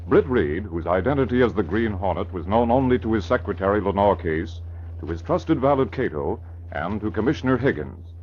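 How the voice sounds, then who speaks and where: A man's voice: lowish voice, expressive, fairly quick, slight background noise. A man with an American accent reading dramatic scripted narration in 1940s radio style, formal and portentous. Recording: muffled vintage radio, faint music behind.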